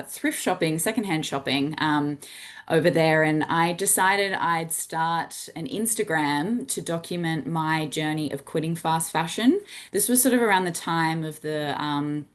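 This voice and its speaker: An Australian woman in her thirties talks through her career in sustainability communication. Her voice sits low, and her delivery is earnest, dotted with ums and small restarts as she explains ideas to her audience. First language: English. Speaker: science communicator. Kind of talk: presentation talk.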